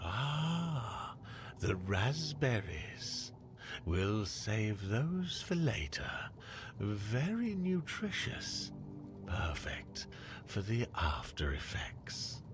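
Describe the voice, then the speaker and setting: An actor playing a kindly, eccentric forager in a fantasy game, musing aloud in a folksy way. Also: male voice, lowish voice, big pitch swings, drawn-out words, exaggerated voice. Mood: cheerful, musing. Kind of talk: fantasy game character acting. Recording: clear ambient noise behind.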